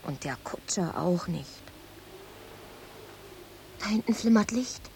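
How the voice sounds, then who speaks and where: A lively, passionate island woman. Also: fairly low pitch, takes it slowly, gravelly, heavily acted. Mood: hushed, anxious. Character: passionate, sharp-tongued island woman, lover and servant. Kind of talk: German radio drama acting. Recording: noisy radio drama with sound effects.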